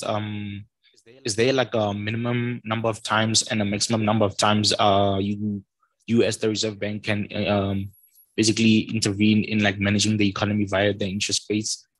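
A man's voice: fairly low pitch, little pitch movement, normal speed, dry recording, poor audio. A man asking questions from the audience, hesitant with many ums.

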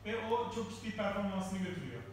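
A man's voice: sits high, expressive, a little hiss or hum. A man in the audience of a technical meetup, sharing his production experience and correcting others firmly. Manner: firm, quick corrections. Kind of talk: discussion from the audience. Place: large hotel meeting room. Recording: echoey room from far off.

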